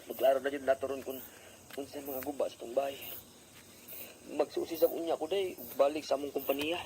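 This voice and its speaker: A man's voice: medium pitch, steady tone, a little fast, background noise, lightly acted. A man acting an aggrieved working husband in a radio drama, earnest and easily upset, with a Cebuano Filipino accent. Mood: weary, concerned.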